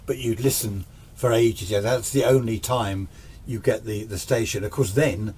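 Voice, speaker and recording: Low-voiced older man rambling over background noise. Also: normal speed, a little mellow. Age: 60s. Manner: rambling, conversational, self-questioning asides. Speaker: amateur radio hobbyist presenter. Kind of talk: informal unscripted hobby explainer.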